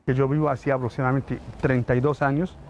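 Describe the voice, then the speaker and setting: A man's voice: medium pitch, flat delivery, quick pace, darkish tone, muffled recording. Earnest man, noisy street behind. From Palestine. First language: Arabic. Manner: earnest, emphatic, repetitive phrasing. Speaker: immigrant interviewee. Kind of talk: heartfelt interview testimony.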